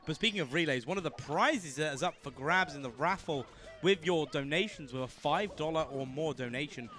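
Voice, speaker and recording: A man's voice: on the high side, a little fast, pushed and bright. A man co-commentating a live speedrun event, joking and bantering and reading out donation prizes. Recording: faint music behind.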